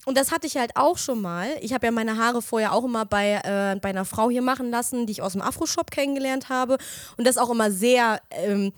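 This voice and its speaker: Dry-sounding, higher-voiced young woman chatting. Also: a little fast, no room sound. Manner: lively storyteller, laughs often, gets loud when worked up. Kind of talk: casual chat.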